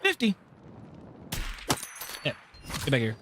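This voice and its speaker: A man's voice: medium pitch, wildly swooping pitch, slow pace, sharp tone, slight background noise. A man giving casual, slangy live gameplay commentary with a playful manner, as a game streamer. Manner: slangy, playful, breaks into song.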